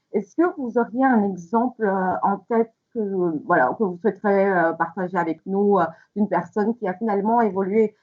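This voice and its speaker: Woman recruiter on a panel, polite n hesitant, repeats words, mid pitch, bit muffled lofi audio. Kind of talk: semi-formal panel presentation. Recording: slightly dull, lo-fi audio.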